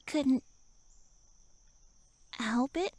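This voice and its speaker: A tiny elf woman speaks in a high voice with very little room sound. She is pouty and indignant, huffing and stammering as she scolds. It plays as an intimate fantasy roleplay audio with a tsundere temper. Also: extremely slow, a little mellow, dry recording. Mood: pouty, flustered. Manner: flustered, indignant, huffy, stammering. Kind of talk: roleplay audio drama addressed to the listener.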